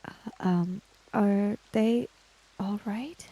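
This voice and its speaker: A woman's voice: mid-range voice, very slow, mellow, husky, dry recording, rough recording. A woman playing a tabletop roleplaying game, casually describing her character in a tentative, trailing way.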